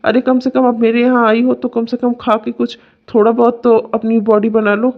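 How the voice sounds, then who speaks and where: A woman's voice: on the high side, flat delivery, moderate pace, natural voice. A woman voicing a domineering, complaining young husband in a melodramatic family story. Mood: coaxing. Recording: dull, muffled lo-fi sound.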